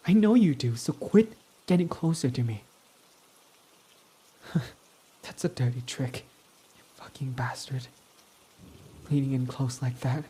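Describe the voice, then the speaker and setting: A man's voice: mid-range voice, unhurried, pauses often, dark tone, husky. A man voicing a proud, defensive young male villain in an ASMR roleplay who swears when flustered, over faint rain. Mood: flustered, teasing. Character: proud tsundere young male villain, gruff but smitten.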